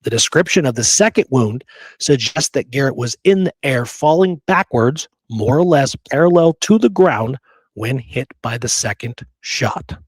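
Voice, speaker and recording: A man's voice: mid-range voice, lively intonation, moderate pace, slightly rough, no room sound. A man hosting a history show, reading old sources aloud between chatty asides. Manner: chatty, with frequent "you know" and "okay" asides. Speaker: history podcast host.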